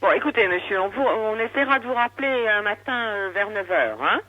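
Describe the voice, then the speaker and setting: A patient, polite woman working for a helpline, gently reproving in a phone conversation heard over a dull line. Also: mid-range voice, expressive, a little fast, dry recording. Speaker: alcoholism prevention helpline worker. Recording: dull, dry telephone line.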